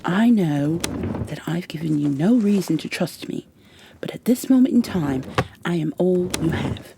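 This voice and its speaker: A woman playing a stern high elf warrior in an ASMR roleplay, with formal, commanding, impatient phrasing and a soft, breathy delivery that often drops toward a whisper. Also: expressive, a little slow, noisy background. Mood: urgent, persuasive. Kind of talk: ASMR roleplay, scripted in-character monologue.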